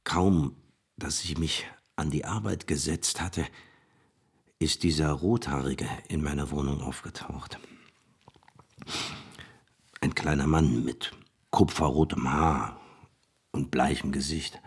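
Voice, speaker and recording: A vain, fame-obsessed scientist tells his own story in a radio play. His voice is extremely low and husky, and he speaks slowly, confiding as if to pals. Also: exaggerated voice. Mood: uneasy recounting. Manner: confiding, addresses listeners as pals. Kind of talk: radio play, first-person account.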